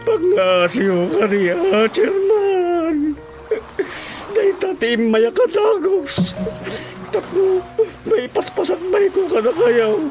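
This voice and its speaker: A plaintive old widower from a radio drama, pleading in an Ilocano accent. His voice sits very high and drags slowly from phrase to phrase, with music clearly behind it. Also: sing-song, darkish tone, full character voice, rough recording. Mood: tearful pleading. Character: pleading, grief-stricken old widowed villager. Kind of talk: radio drama comedy acting.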